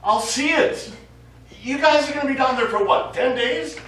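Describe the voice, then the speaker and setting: An actor playing a nonchalant, wry astronaut in a stage play. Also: male voice, very high voice, moderate pace, a bit bright. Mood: wry, testy. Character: nonchalant, wry male astronaut tending the ship. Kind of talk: stage acting. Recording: echoey distant stage recording, faint noise.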